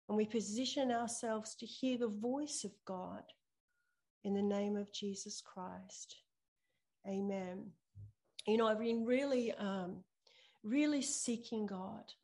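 A woman's voice: mid-range voice, slow, darkish tone. A woman pastor giving a sermon and prayer, speaking earnestly and repeating phrases for emphasis. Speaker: pastor preaching to a church.

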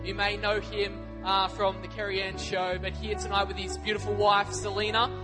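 A man introducing a guest speaker from the stage in a hesitant, halting way. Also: high-pitched, level tone, music in the background.

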